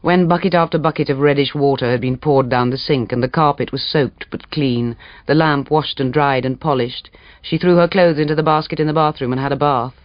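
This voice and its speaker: A man's voice: a little high, steady tone, fairly quick, no room sound. Man narrating a dramatic story, dry dull sound. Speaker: audiobook reader.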